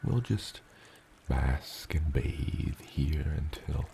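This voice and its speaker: A man voicing a huge, gentle father dragon in an ASMR roleplay, with a gravelly, breathy rumble and tender, eloquent phrasing. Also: lively intonation, heavily acted. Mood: reassuring, fond. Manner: slow, tender, eloquent, slightly old-fashioned wording.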